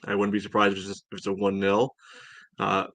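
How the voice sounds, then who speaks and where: A man co-hosting a sports podcast, giving casual soccer analysis in a measured, think-aloud way. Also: on the low side, monotone, a bit bright, no echo.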